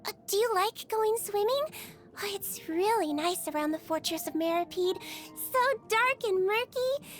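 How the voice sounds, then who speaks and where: A woman playing a small, sweet, earnest creature who works as a nurse in a video game, gentle and bubbly with an innocently clinical manner. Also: very high-pitched, animated, a little slow. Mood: friendly, caring. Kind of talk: video game character voice lines. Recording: music behind the voice.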